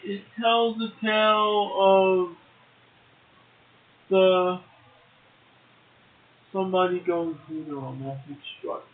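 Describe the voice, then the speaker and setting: A man's voice: sits high, fairly even intonation, takes it slowly, long pauses. A man co-hosting a poetry show, reciting verse and adding brief casual remarks. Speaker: poetry show co-host and poet. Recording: roomy echo, narrow phone-like sound.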